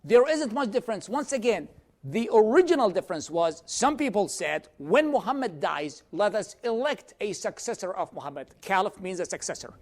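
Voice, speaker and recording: A man from Iraq, lecturing a church class in a measured, explanatory way and answering audience questions. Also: high voice, very animated. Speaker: teacher of a church class series.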